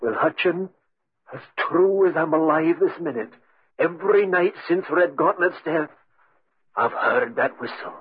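An actor playing a devoted, morbid old manservant in a 1940s radio drama, with a husky voice. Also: male voice, fairly high pitch, expressive, unhurried, full character voice. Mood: grave, foreboding. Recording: muffled 1940s radio recording.

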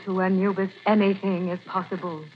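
A woman's voice: mid-range voice, little pitch movement, brisk, lightly acted. An actress playing a mysterious Egyptian woman librarian in a 1940s radio drama, speaking in a solemn, ominous way. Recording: muffled old radio broadcast, faint hiss.

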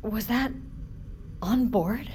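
An actress playing a blunt, outspoken ship's crew member in an audio drama. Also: female voice, a little high, extremely slow, a little mellow, a little hiss or hum. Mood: subdued, probing. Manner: blunt, confrontational. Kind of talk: naturalistic audio drama acting.

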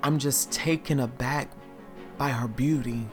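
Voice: medium pitch, fairly even intonation, a little slow, lightly acted. A performer voicing a confident woman in a tuxedo, awestruck and dreamy, with faint music behind.

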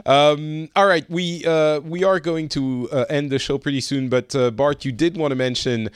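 A man hosting a casual podcast, steering the talk with quick questions. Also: fairly high pitch, fairly even intonation, smooth, no echo, studio quality. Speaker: podcast host. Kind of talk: casual current-affairs chat.

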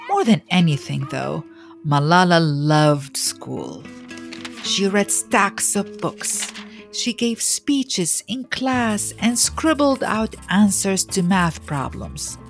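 Woman, children's story podcast host, slow deliberate delivery, animated intonation, dramatic pauses, scripted narration. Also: on the low side, vocal fry, soft background music.

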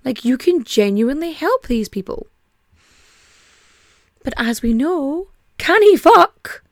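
A woman's voice: sits high, slow pace, no room sound, studio quality. A young woman casually telling a true crime story, swearing freely and acting out the people she quotes. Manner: animated, sweary, acts out quotes in mock voices.

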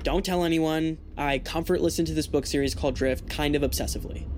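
A man's voice: steady tone, quick pace, a little mellow, close to an everyday voice. A young man acting as an earnest, slightly embarrassed teenage boy in an audio drama, talking casually. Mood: sheepish, earnest. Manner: casual, sheepish. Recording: car rumble and road noise.

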